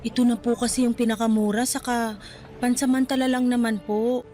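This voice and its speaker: Woman as young wife, high defensive voice. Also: little pitch movement, fairly quick, warm tone, close to an everyday voice. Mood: calm explaining. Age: young adult. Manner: polite but answering back. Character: young wife and new mother, defensive but respectful daughter. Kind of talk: Tagalog radio drama acting.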